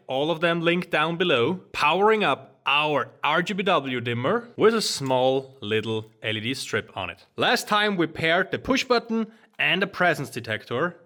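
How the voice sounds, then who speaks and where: A man's voice: a little high, a bit bright. A German-accented man in his thirties, a native German speaker, giving an enthusiastic, casual hands-on tutorial, filmed on a handheld phone while walking around his office. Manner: enthusiastic, casual, addresses viewers as guys. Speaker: smart home trainer. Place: office studio room, indoors. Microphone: phone camera held while walking.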